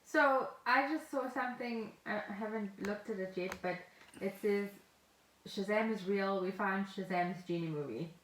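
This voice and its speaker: A woman's voice: medium pitch, unhurried. South African woman in an echoey room. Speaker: podcast co-host. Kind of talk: casual conversational chat. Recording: roomy echo, faint background noise.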